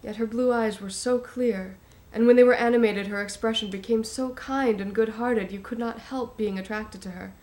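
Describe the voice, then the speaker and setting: A woman's voice: fairly high pitch, fairly even intonation, moderate pace, hardly any pauses, darkish tone, natural voice. A woman reading a novel's character description aloud at an audition. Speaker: actor auditioning. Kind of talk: audition reading of literary prose.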